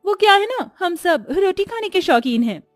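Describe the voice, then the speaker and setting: Woman putting on a high-pitched, bossy sister-in-law. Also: sing-song, normal speed, dry recording, studio quality, faint music behind. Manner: mocking, commanding. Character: bossy, taunting young elder sister-in-law. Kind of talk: cartoon story acting.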